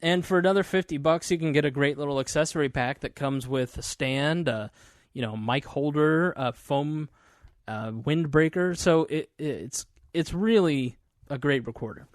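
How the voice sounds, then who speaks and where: Male podcast host, relaxed rambling chat, studio mic, dead-dry sound. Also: fairly high pitch, normal speed, no echo. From Indiana, US. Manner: chatty, informal, many fillers and restarts. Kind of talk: casual solo talk about podcast gear. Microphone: studio microphone.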